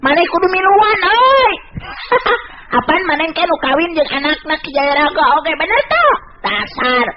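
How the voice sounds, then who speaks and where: A man's voice: extremely high pitch, very animated, unhurried, voice pushed up, full character voice. A man voicing a crude, teasing rogue villain in a Sundanese radio storytelling drama, with mocking, coarse colloquial talk. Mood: grumbling, mocking. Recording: dull noisy old radio tape.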